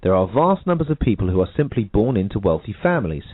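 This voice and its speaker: A man reading a nonfiction book aloud on a radio show, in a measured, emphatic way that lifts on key points. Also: lowish voice, big pitch swings, no echo. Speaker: audiobook reader. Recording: tinny phone-like radio broadcast.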